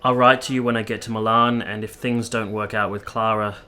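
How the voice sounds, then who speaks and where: Man playing a low-voiced, earnest young officer. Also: fairly even intonation, pushed and bright. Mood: earnest, gentle. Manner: measured, sincere, literary delivery. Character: earnest young Italian officer, tender, reflective narrator. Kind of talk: radio play acting and literary narration.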